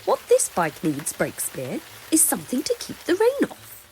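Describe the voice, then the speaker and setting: A woman voicing an inventive, determined woman cyclist in a storybook, musing to herself in slow, emphatic, drawn-out phrases. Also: a little high, wildly swooping pitch, a little mellow, slight background noise, voice pushed up, rough recording. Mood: exclaiming.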